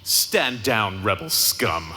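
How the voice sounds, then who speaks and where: An actor playing a haughty, menacing imperial captain in a live audio drama, pompous and threatening. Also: male voice, lowish voice, expressive, takes it slowly, pushed and bright, faint music behind, full character voice. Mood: cold menace. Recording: reverberant live hall sound.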